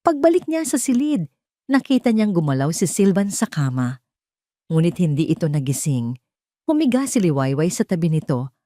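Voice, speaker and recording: A woman narrates a scripted drama in a slightly low voice. Her pitch moves in lively, expressive swings. The sound is dry, with no room around it. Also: moderate pace, no echo. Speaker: story narrator.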